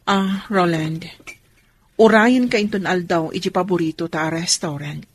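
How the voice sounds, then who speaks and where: A woman's voice: lowish voice, unhurried. A woman playing a long-suffering, anxious wife and mother in a radio drama, speaking earnestly and pleadingly.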